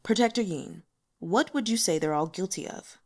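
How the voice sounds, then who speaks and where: A woman narrator gives voice to a male demon lord in a fantasy novel. He speaks formally and coolly, issuing orders with measured indifference. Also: mid-range voice, expressive, lightly acted. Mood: cool command.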